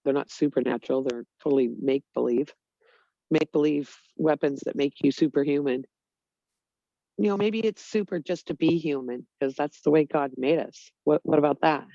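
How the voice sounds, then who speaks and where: A woman's voice: low voice, normal speed, dark tone, dry recording, muffled recording. A woman talking earnestly in a casual group chat, rambling with lots of fillers. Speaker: Bible study group member.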